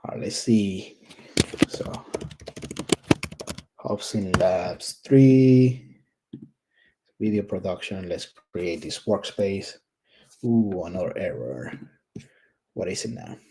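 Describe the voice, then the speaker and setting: A man's voice: deep voice, animated, takes it slowly, creaky, pauses often, dark tone, slightly rough, poor audio. A man with a thick Puerto Rican accent who talks through a coding build, casual and candid, thinking aloud with many ums and restarts. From Puerto Rico. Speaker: tech YouTuber. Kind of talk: screen-recorded coding walkthrough.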